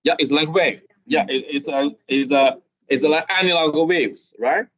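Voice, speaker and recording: Middle-aged lecturer checking in with "okay?". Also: male voice, on the high side, fairly bright tone. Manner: halting, frequent "okay?" checks with listeners. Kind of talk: classroom lecture. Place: classroom. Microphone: video call. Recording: dull lo-fi video call audio.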